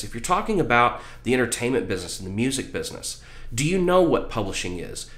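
A man's voice: medium pitch, reverberant. A man in his thirties giving earnest motivational advice to camera as a vlog host, explaining things directly to viewers. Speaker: vlog host, music business mentor. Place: small room at home with posters, indoors.

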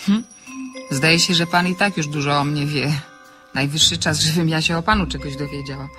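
An actress playing a witty, outspoken single woman in an audio drama, bantering briskly with polite irony. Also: low-pitched, steady tone, bright, forceful tone, faint music behind. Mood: ironic, probing. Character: witty, outspoken single woman writer, teasing and self-ironic. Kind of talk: Polish audio drama dialogue.

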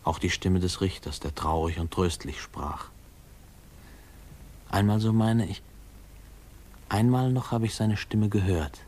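A man's voice: low voice, a little slow, pauses often, darkish tone. An actor playing a grown man remembering his boyhood, narrating a 1950s radio drama in a reflective, lyrical way. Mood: wistful, reflective. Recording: faintly noisy old radio recording.

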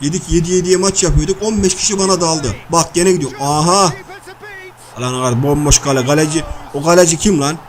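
A man playing a loud, crude, bickering comedy everyman who nags in slangy repeats during cartoon-style video-game banter. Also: a little high, brisk, lightly acted. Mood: loud, outraged. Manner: slangy, blustering, nagging, repeats phrases. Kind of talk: comic video-game banter and match commentary.

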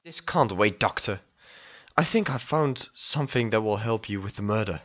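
Slightly muffled recording of a man as a self-important president in a sci-fi audio drama. He is formal and insistent, with a fairly low voice. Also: animated, moderate pace, a bit bright. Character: restless, self-important male president turned amateur sleuth.

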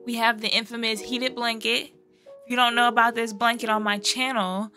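Young American woman, playful YouTube reactor, high bright voice, casual slang, music behind her. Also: level tone, normal speed. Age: 20s. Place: small bedroom studio, indoors. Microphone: close boom-arm microphone.

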